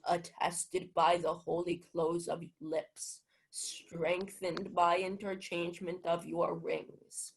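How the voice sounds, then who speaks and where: A young woman playing a solemn priest, speaking slowly and ceremoniously in a Shakespeare radio play. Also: lowish voice, rough recording. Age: young adult. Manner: slow, measured.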